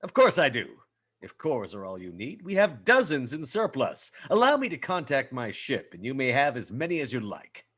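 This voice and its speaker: Indignant cartoon space captain, bright and forceful, husky edge, haughty and long-winded. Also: male voice, medium pitch, huge pitch swings, normal speed, exaggerated voice. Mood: haughty persuasion. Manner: haughty, florid, blustering diction. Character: pompous, indignant male alien navy captain. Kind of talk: animated comedy table read.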